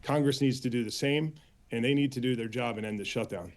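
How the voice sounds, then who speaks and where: An American man answering as an interview guest and union spokesman, earnest and halting, with frequent filler pauses. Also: mid-range voice. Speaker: air traffic controllers' union official, interview guest.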